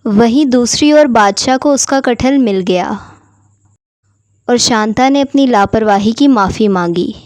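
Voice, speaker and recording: A woman's voice: a little high, a little slow, a little mellow, no echo. A woman narrating a scripted story for children.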